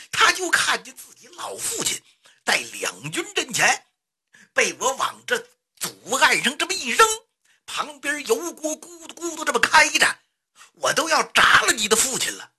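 女说书人演的暴怒的霸王，嗓音粗哑. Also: female voice, high-pitched, sharp tone, slightly rough, voice pushed up, exaggerated voice. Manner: explosive, indignant, roaring. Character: furious, blustering male warlord Xiang Yu, easily outraged.

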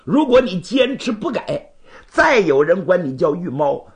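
A man's voice: high-pitched, big pitch swings, unhurried, pitched above the performer's own voice, exaggerated voice. A male storyteller voicing a proud, hot-headed young swordsman, brusque and imperious as he challenges a rival. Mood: stern, demanding. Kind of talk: Chinese storytelling performance.

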